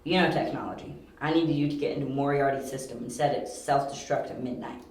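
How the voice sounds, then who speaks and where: A man playing a young, confident, analytical detective in an amateur audio drama, talking briskly and insistently. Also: medium pitch, steady tone, lightly acted. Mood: assured. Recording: very echoey room.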